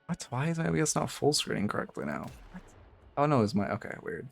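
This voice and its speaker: A man talking casually on a live stream into his streaming microphone. He thinks aloud, swears now and then, and speaks in a low voice with high inflections. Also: sing-song, fast, vocal fry. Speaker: game coach and streamer.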